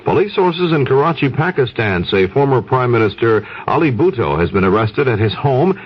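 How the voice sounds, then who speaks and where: An American man anchors a scripted radio newscast. His pitch moves in an animated way and has a husky edge. The sound is dull and band-limited, like a 1970s radio broadcast. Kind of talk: scripted news reading.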